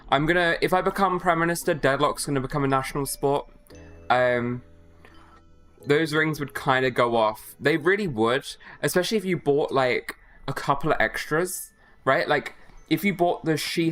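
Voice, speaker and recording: A man's voice: mid-range voice, fairly bright tone. A young man chatting on a livestream, tired after a bad night's sleep, rambling and joking with lots of filler words. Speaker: streamer. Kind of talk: casual livestream chat.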